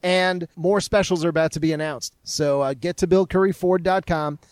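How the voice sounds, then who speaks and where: A man co-hosting a sports talk show, reading sponsor spots in a brisk, salesy way. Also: a little high, steady tone, no echo, studio quality. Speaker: co-host reading sponsor ads. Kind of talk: sports radio banter with live reads.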